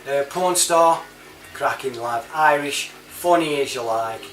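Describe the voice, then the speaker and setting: A man's voice: medium pitch, slow, a bit bright, soft background music. A British man giving a casual, rambling monologue in a chatty, matey manner full of informal slang. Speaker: online reviewer and CB chat app user. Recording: roomy, distant mic, clear background noise.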